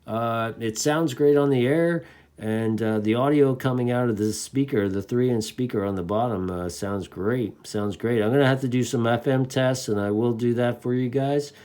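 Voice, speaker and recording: Regular guy doing a gear review, folksy and into it, mid pitched voice, informal. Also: normal speed, a little mellow. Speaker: radio reviewer. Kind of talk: casual product demonstration.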